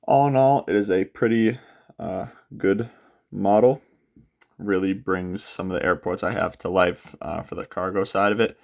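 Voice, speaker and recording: A young man walks through a model unboxing in an easygoing, unscripted way, pausing often on fillers. His voice sits very low with a rough, gravelly edge. A cold leaves him slightly stuffy and nasal. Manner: relaxed, many filler pauses. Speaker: aviation model channel host. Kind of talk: casual product unboxing walkthrough.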